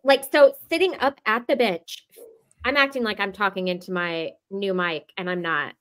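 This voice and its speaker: A woman's voice: mid-range voice, normal speed, a bit bright. A woman panelist chatting informally on a live stream. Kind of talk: casual chat.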